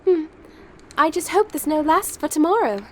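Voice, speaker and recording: A woman's voice: sits high, big pitch swings, moderate pace, a little hiss or hum. A woman playing a sweet, friendly young girl in an audio drama.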